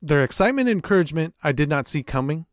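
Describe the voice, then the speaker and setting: A man's voice: a little high, very animated, rapid-fire, dry recording, studio quality. A man hosting a podcast, reading a scripted introduction with casual asides in a theatrical, playful storyteller's phrasing. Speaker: podcast host.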